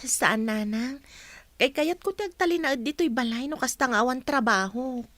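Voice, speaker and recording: A woman's voice: high voice, normal speed, a bit bright, no echo. An actress playing a shy, reserved young woman in a radio drama. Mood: reluctant.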